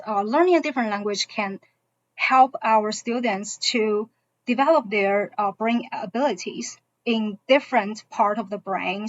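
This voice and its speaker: A woman from China, a native Mandarin speaker with a Mandarin accent, explaining a school program to parents as a teacher in halting English. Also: a little high. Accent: Mandarin Chinese. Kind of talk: informational presentation to parents. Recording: dull, muffled online-session audio.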